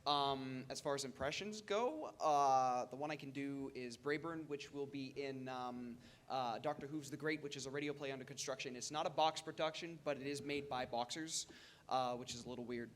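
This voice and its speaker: A man's voice: mid-range voice, normal speed. A man talking casually as a voice actor on a panel, on a handheld microphone in a convention room. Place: indoor convention meeting room. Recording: faint room noise at live panel.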